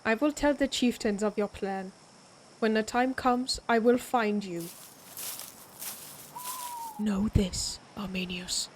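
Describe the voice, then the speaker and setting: A woman playing a proud, menacing vampire warrior in an audio roleplay, speaking deliberately with an imperious, taunting manner. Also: medium pitch, a little hiss or hum, natural voice. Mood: solemn warning.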